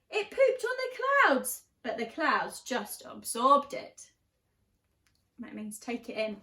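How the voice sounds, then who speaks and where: A woman with a high voice reads a children's storybook in a roomy, echoing space. She tells it expressively, leaning on key words for drama. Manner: animated, dramatic emphasis with drawn-out key words. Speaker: storyteller reading a children's picture book. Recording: roomy, echoing room sound.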